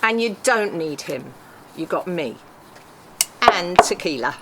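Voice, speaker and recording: A woman's voice: lowish voice, expressive, slow pace, very noisy. A woman at a script read-through playing a brash, bossy friend on a night out, sarcastic and teasing. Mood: goading. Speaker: actor at a script table read.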